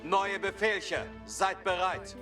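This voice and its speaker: An actor playing an obedient medieval German soldier in a video game, brisk and ready to serve, with a German accent. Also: male voice, high-pitched, fairly even intonation, sharp tone, faint music behind. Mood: eager. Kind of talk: video game unit voice lines.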